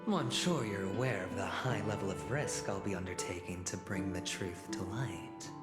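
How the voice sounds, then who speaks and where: An actor playing a smooth, calculating male gambler in a video game, with a suave, insinuating, persuasive delivery. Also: sits low, moderate pace, close to an everyday voice. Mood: confident, bargaining. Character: smooth, calculating gambler and negotiator, confident man. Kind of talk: scripted video game dialogue acting. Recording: echoey hall reverb under loud music.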